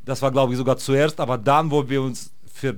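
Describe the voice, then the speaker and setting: A man's voice: mid-range voice, steady tone, brisk, clean tone, no echo. Man, rambling interview guest. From Russia. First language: Russian. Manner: rambling, many fillers and restarts. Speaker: guest musician. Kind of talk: casual interview.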